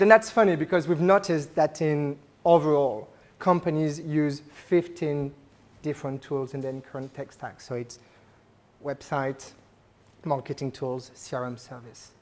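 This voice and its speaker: A man with a French accent giving a conversational talk to a live audience at a business event, often putting questions to the listeners. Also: a little high. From France. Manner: engaging, polls the audience with questions; conversational, frequent "uh" and "right?".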